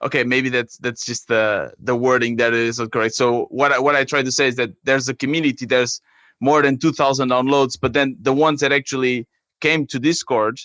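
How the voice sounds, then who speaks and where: A man from Portugal with a Portuguese accent, explaining his work haltingly as a guest in a conversational interview. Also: medium pitch, flat delivery, a bit bright, dry recording. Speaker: app creator, podcast guest.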